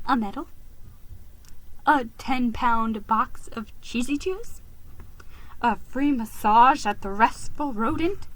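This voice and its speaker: A woman's voice: fairly high pitch, takes it slowly, long pauses, rough recording. A woman voicing a beleaguered male mouse who tells his own story in a dramatic, self-pitying manner. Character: beleaguered, melodramatic male mouse narrator, a publisher.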